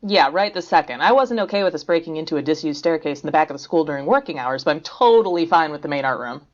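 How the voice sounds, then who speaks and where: A woman playing a dry, level-headed academic who teases in a deadpan way, in an audio drama. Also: low-pitched, fast, lo-fi sound. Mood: teasing.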